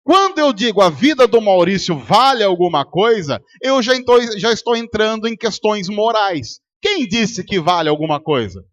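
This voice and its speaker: High-voiced Brazilian pastor preaching. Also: male voice, animated, moderate pace, few pauses, a bit bright, muffled recording. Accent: Brazilian Portuguese. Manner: rhetorical, quizzes the congregation and acts out arguments. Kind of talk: sermon. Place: church service.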